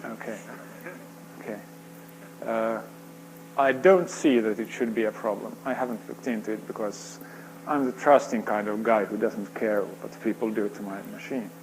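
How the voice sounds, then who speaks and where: A man's voice: on the low side, fairly even intonation, normal speed, darkish tone, slight background noise, poor audio. A man whose native language is Swedish, speaking casually and joking with the audience during a conference Q&A. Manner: joking, self-deprecating, casual. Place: conference hall.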